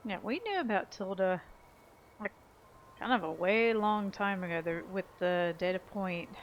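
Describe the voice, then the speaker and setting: Woman doing casual gameplay commentary, kinda thinking out loud, medium pitch, faint game noise behind. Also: moderate pace, dull audio. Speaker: gaming video host.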